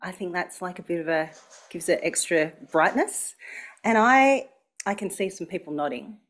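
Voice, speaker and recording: Lively Australian woman emceeing an event. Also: fairly low pitch, moderate pace. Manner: chatty, playful, engaging the room. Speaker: master of ceremonies at an event launch. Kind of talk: live speech to an audience. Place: function room with a live audience.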